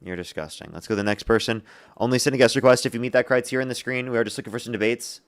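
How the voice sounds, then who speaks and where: A young man hosting a live debate stream, briskly taking callers and repeating his stock lines to viewers. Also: mid-range voice, smooth. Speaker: livestream debate host. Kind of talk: live-stream call-in chat.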